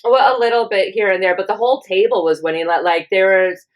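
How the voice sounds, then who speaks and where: A young woman from Wisconsin hosting a podcast, telling stories in a relaxed way and laughing often. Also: mid-range voice, quick pace. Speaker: podcast host. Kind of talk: casual podcast chat.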